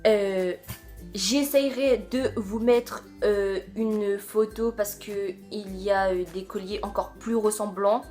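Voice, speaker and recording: A woman's voice: mid-range voice, steady tone, slow, mellow, faint music behind. A young woman with a French accent chatting casually to camera as a vlogger, hesitant and full of fillers. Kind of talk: casual video chat.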